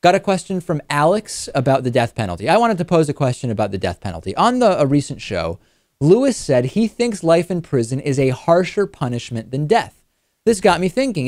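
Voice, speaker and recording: A man hosting a conversational political talk show, reasoning aloud in a measured way. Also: a little high, a little mellow, no room sound, studio quality. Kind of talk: conversational political talk, reading listener questions.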